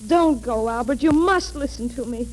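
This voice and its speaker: A woman playing a lovesick, pleading heroine in a 1940s radio melodrama, imploring and overwrought, with dramatic pauses. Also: a little high, a little mellow, a little hiss or hum, voice pushed down, natural voice. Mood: desperate pleading.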